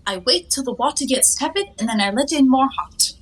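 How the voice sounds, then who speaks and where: Playful, high-voiced young bathing beauty. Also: female voice, expressive, fairly quick, husky. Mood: flippant, carefree. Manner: airy, teasing, mock-grand phrasing. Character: playful, cheeky, carefree young woman lounging in her bath. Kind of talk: theatrical 1920s stage comedy acting.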